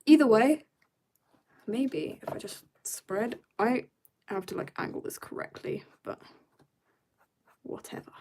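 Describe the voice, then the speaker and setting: A teenage girl from South Africa chatting casually to her viewers while she draws, rambling and joking at herself, sometimes dropping to quiet mutters. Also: on the high side, animated, slow pace, vocal fry, pauses often, noisy background. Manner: chatty, rambling, self-mocking asides. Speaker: art streamer. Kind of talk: casual chat while drawing.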